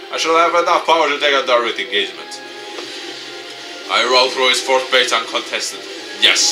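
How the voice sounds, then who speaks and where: Male narrator for a game story, on the low side, expressive delivery, recorded off-mic over prominent music. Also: far from the mic. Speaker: gaming YouTuber. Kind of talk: dramatic read-aloud of visual novel narration.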